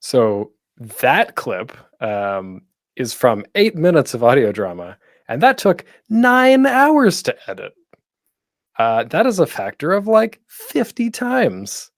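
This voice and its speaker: Animated man giving an informal talk, close and dry. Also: medium pitch, slow pace, no echo. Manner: conversational, encouraging, self-deprecating humor. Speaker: podcaster and panel host. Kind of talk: informal online panel presentation.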